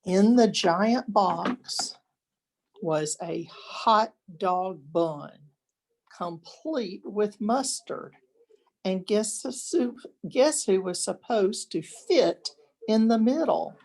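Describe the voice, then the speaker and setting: An older woman reads a picture book like a grandmother to young children. Her pace is very unhurried, with dramatic pauses, and her voice is husky with plenty of creak. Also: medium pitch, expressive, darkish tone. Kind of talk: expressive children's storybook read-aloud.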